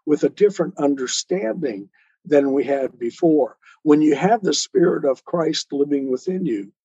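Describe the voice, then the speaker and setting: A man's voice: on the high side, normal speed, warm tone, breathy edge. A man speaking as an interview guest, earnestly explaining spiritual ideas step by step in a didactic way. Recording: muffled, roomy, lo-fi sound.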